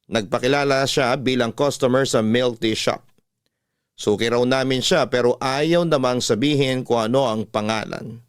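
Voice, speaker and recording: A man's voice: mid-range voice, smooth, no echo, clean studio sound. A man with a Filipino accent narrating a scripted first-person story as a radio drama host.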